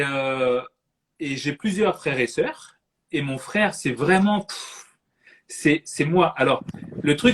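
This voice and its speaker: An animated man hosting a live chat, laughing often and repeating himself as he talks. His voice sits slightly high, and a steady hiss of noise runs under the live-stream audio. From French Caribbean. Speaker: host.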